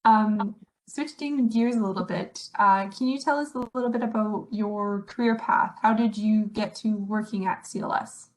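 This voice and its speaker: A woman asks questions in a relaxed, friendly interview. Her voice sits at an average pitch, and a faint hiss runs under the recording. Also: normal speed, poor audio.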